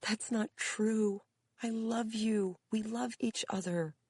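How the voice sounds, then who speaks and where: A woman's voice: fairly high pitch, steady tone, slow, darkish tone, slightly rough, dry recording, full character voice. A woman reading aloud, voicing an adult daughter who tearfully reassures her mother. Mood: pleading. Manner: emotional, reassuring.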